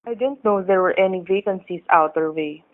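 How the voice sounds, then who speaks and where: A woman's voice: fairly low pitch, fairly quick, natural voice. A woman playing a cheerful young male motorcycle cop in a radio drama, folksy, joking and mock-formal. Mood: friendly, teasing. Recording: tinny, phone-like radio-play recording.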